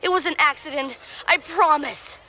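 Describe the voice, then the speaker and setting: A woman's voice: high-pitched, moderate pace, sharp tone, a little hiss or hum. A woman voicing a polite, sheepish young boy in a puppet show, speaking earnestly. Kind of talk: church puppet show acting.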